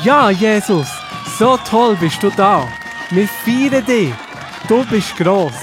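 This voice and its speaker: A man playing an excited, adoring follower who exclaims jubilantly in a children's Bible audio drama. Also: high voice, slow. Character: excited, adoring follower in cheering street crowd. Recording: music and crowd behind.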